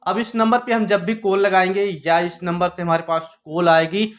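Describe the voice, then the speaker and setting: High-pitched man giving instructions. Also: little pitch movement, normal speed. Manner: repetitive, instructional phrasing. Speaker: tech tutorial presenter. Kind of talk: step-by-step phone tutorial narration.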